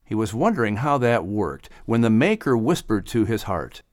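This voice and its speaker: A man narrating a children's audiobook in an expressive, scripted storytelling style. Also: lowish voice, normal speed, no echo, studio quality.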